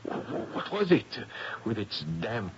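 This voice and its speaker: Dull, hissy old radio play. gray-haired French professor, lowish voice, florid and very expressive. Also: male voice, slow pace. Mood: horrified. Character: dramatic Frenchman, gray-haired professor, the investigator's loyal companion. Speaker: actor. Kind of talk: old-time radio mystery acting. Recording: dull, noisy radio drama recording.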